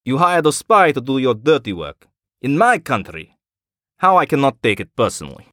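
A proud, prickly male colonel, as a storyteller voices him, lifting his voice above the narrator's to throw out sharp, scornful retorts. The sound is dry and close. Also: medium pitch, very animated, normal speed, no echo, pitched above the performer's own voice.

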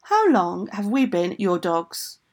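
Woman voicing indignant enchanted servant, husky and drawn-out. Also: mid-range voice, big pitch swings, a little mellow. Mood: indignant, reproachful. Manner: indignant, reproachful. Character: aggrieved enchanted household things and servants refusing orders.

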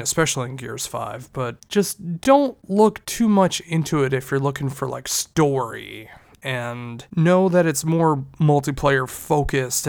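This is a male podcast host with a congested, stuffy nose. His voice sits a bit high for a man. He talks in a loose, rambling way with restarts, and the sound is close and dry with no room echo. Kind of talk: casual solo podcast talk.

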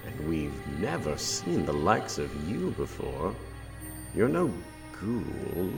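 A menacing ghoul from a fantasy audio drama. The voice is very low and creaky, dragging each word out with deep suspicion and lurching widely in pitch. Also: male voice, wildly swooping pitch, takes it slowly, full character voice. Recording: music behind the voice.

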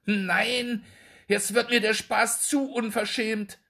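A man's voice: sits high, fairly even intonation, slow pace, pushed and bright, pitched above the performer's own voice, full character voice. A man voicing an angry older market woman who scolds shrilly in a storybook reading. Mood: indignant.

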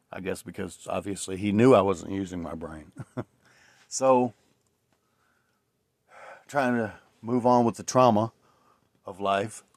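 A man's voice: on the low side, darkish tone, dry recording, studio quality. A man in his late forties hosting his own podcast, talking alone in a rambling, reflective way with many fillers and restarts. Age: 40s. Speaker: podcast host. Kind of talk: casual solo podcast monologue.

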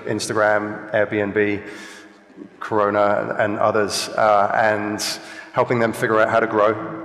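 A man with a lowish voice speaks as a panelist, composed and measured. The room is echoey, with a long hall reverb behind his words. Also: fairly bright tone. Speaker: panelist, agency managing director. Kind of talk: conference panel talk. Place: conference hall stage.

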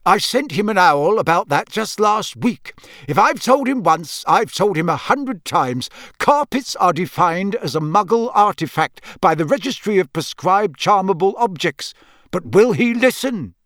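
A man's voice: sits high, very animated, a little slow, a bit bright, no echo, studio quality, voice pushed up, full character voice. A man voicing a mild, earnest, middle-aged wizard father in an audiobook, tentative and a little put-upon. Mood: exasperated. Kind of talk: audiobook character voice.

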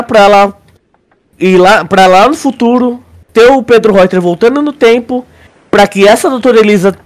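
A Brazilian man with a notably high voice talks as a podcast co-host. He explains things haltingly, doubling back on words and regularly asking for agreement in a casual, conversational way. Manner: halting, repeats words, often checks listener agrees. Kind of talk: casual podcast discussion, theorizing.

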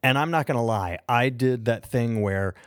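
A man's voice: medium pitch, normal speed, no room sound, studio quality. An American man co-hosting a podcast, telling stories in a rambling, enthusiastic way. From United States. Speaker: podcast co-host. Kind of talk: casual podcast chat.

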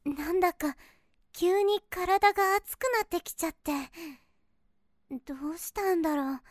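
A sweet young girl in an anime-style audio drama. Her voice is extremely high and childlike, with a dry, close sound. She is polite, earnest and innocent, a little hesitant and easily flustered. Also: moderate pace, no echo. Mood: puzzled. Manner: earnest, deferential, hesitant speech. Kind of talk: Japanese voice drama acting.

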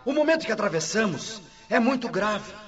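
A formal public announcer in a radio drama, calling a crowd to order. The voice is high and heard from a distance. The audio is dull and lo-fi, with faint music behind. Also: male voice, sing-song, moderate pace.

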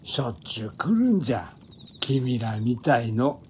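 A man's voice: medium pitch, very animated, measured pace, slight background noise. An actor playing a blunt, folksy old man who patrols a forest, speaking rustic Japanese old-man dialect in a radio drama. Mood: easygoing. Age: older. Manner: blunt, folksy, elderly turns of phrase.

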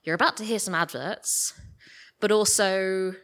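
A woman's voice: mid-range voice, measured pace, fairly bright tone. A British woman speaking informally and gushingly as an interview guest, telling casual anecdotes and acting out bits of imagined dialogue. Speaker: guest, audio drama creator. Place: live podcast festival stage with audience.

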